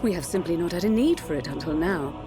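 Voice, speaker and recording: An actress playing a cool, calculating middle-aged royal consort with poised, courtly speech in a fantasy game drama. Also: female voice, lowish voice, lively intonation, fast, lightly acted. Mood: coolly insistent. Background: loud background music.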